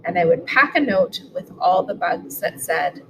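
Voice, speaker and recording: A woman's voice: lowish voice, animated, moderate pace, creaky, background noise. A woman, reading a picture book aloud at home. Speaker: school superintendent reading aloud. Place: living room sofa, indoors. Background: music.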